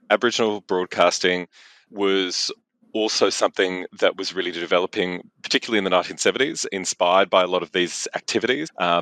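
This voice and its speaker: Man, very low pitch, bright forceful tone, dry recording, academic and discursive interview explanation. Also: dry recording. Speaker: expert interviewee.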